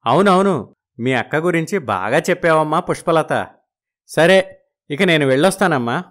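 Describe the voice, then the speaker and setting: A man's voice: on the high side, lively intonation, normal speed, fairly bright tone. Man playing genial, effusive village brother-in-law. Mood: eager, agreeable. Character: genial food-loving village brother-in-law, easily flattered.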